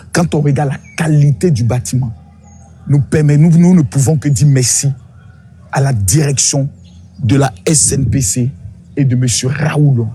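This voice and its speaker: Declamatory man with a mellow voice. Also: mid-range voice, a little hiss or hum. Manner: declamatory, addressing the audience formally. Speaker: video commentator. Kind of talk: promotional tour commentary.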